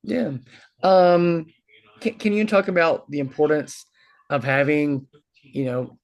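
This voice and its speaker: A man's voice: fairly high pitch, fairly even intonation, slow, gravelly, low-quality recording. A man interviewing a guest for a film festival, conversational, phrasing his questions in hesitant fragments.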